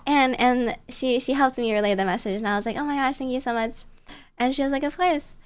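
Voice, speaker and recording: A woman with a high voice chats casually on a livestream, telling stories as she goes. Her delivery is bubbly and playful, with a cutesy touch. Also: brisk, clear, resonant tone. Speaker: streamer. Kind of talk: casual storytelling chat on a livestream.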